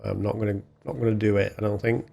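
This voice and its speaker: A man's voice: on the low side, fairly even intonation, fast talker, vocal fry, dark tone. A man hosting a gaming video, chatting casually and thinking aloud as he plays. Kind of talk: casual game play commentary. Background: game music.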